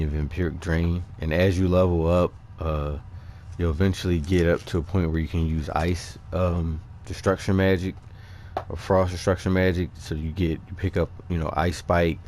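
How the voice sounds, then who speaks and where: A man giving casual, unscripted gaming commentary in a halting, informal way as he walks viewers through his character setup. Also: deep voice, steady tone, darkish tone, slight background noise. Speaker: gaming video creator presenting character builds.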